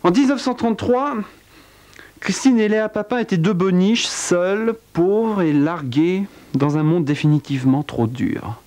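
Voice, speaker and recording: A man telling a dark true-crime tale in a wry, theatrical way, with a cold. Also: measured pace. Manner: wry, theatrical storytelling with big pitch swings. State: has a cold. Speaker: narrator. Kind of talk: dramatic spoken narration.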